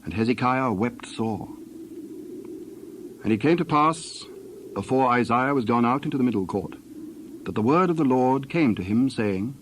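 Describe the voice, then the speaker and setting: Male narrator, medium pitch, solemn scripted scripture storytelling, audible background noise. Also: lively intonation, moderate pace, long pauses, poor audio.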